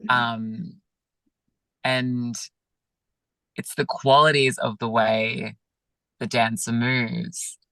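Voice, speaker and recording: Man, gravelly, bright tone, dry close sound, soft reflective talk, video call. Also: mid-range voice, level tone, drawn-out words, long pauses, dry recording, poor audio. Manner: gentle, searching, many fillers. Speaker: yoga teacher, guest.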